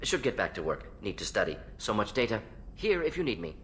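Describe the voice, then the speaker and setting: Eccentric alien scientist game character, kinda low voice but super animated, talks in clipped bits, drops words. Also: male voice, a little hiss or hum. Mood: wry, brisk. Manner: clipped fragments, drops articles, rapid-fire musing. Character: fast-talking alien salarian scientist, brisk, analytical, eccentric. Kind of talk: video game character acting.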